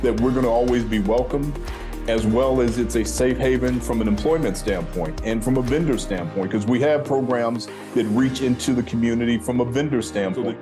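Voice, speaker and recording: A middle-aged man with a mid-range voice gives an earnest testimonial. He phrases things like a corporate executive, and guitar music plays clearly behind him. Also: mid-range voice, normal speed. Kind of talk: interview testimonial.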